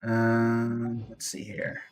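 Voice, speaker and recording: A man's voice: medium pitch, monotone, drawn-out words. A man giving casual live-stream game commentary as the host, with a dry, resigned way of talking. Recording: music playing behind the voice. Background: background music.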